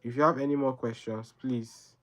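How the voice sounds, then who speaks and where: A man's voice: medium pitch, steady tone, normal speed, lo-fi sound, noisy background. A young Nigerian man hosting a live Q&A, giving earnest, rambling advice and often tagging his sentences with "right?". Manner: earnest, rambling, keeps adding "right?" and repeating himself. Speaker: livestream host answering viewer questions.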